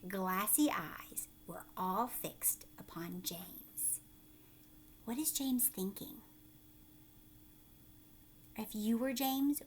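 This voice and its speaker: A woman teacher reading a children's story aloud expressively, with dramatic pauses and questions for young listeners. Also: medium pitch, slight background noise.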